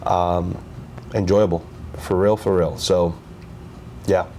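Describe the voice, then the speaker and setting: Man co-host with a lowish voice; relaxed, easygoing delivery, faint background hiss. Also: slow. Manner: relaxed, easygoing, many fillers. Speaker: podcast co-host. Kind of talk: casual chat.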